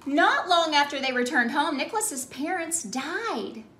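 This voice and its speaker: A bright-voiced woman reads a storybook aloud for children in a roomy indoor space. She takes her time, speaking slowly and with exaggerated expression, as if talking straight to young listeners. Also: high-pitched, expressive, a little hiss or hum. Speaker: children's ministry storyteller and host. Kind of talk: animated picture-book read-aloud for children.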